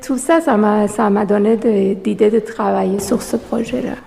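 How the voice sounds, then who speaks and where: Hesitant gravelly-voiced woman, non-native. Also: mid-range voice, fairly even intonation, normal speed, dark tone. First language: not French. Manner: hesitant, many fillers and restarts. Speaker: exhibiting artist. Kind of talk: interview answers. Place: art gallery. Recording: long reverb of a gallery room.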